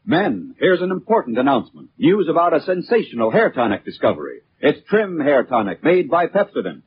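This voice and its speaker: A man with an American accent reading a scripted sales pitch as a 1940s radio commercial announcer. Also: big pitch swings, a little fast. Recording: muffled 1940s radio recording, faint noise.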